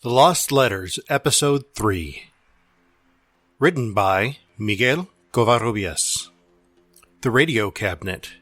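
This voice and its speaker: A man's voice: fairly low pitch, expressive, slow, long pauses, fairly bright tone, dry recording. A man reading scripted episode credits as an announcer.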